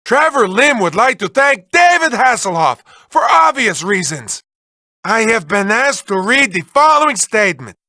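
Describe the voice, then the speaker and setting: A man's voice: high voice, very animated, moderate pace, pushed and bright, no room sound, studio quality, full character voice. A man voicing a manic, lovelorn, whiny mad-scientist villain in a video game, with theatrical, exaggerated delivery that swings between cooing and wailing. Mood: theatrical, sarcastic. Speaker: video game villain reading developer messages. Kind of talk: exaggerated comic cartoon voice acting.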